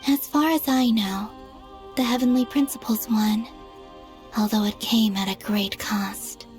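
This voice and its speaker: A woman playing a melancholy young moon goddess in a game cutscene, speaking softly and gently with some hesitation. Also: a little high, fairly even intonation, measured pace, close to an everyday voice. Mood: hesitant, uneasy. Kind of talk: dramatic game cutscene acting. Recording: background music under the voice.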